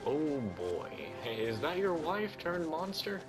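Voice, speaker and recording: A woman's voice: deep voice, sing-song, slow. A woman giving casual let's-play commentary, blunt and sweary, often muttering to herself. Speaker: gaming video host. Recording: voice over loud game music.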